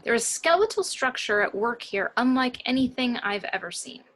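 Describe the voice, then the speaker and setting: A woman's voice: on the high side, lively intonation, normal speed, fairly bright tone. A woman playing a confident, flirtatious morgue doctor in an informal script read, wry and matter-of-fact. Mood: clinical.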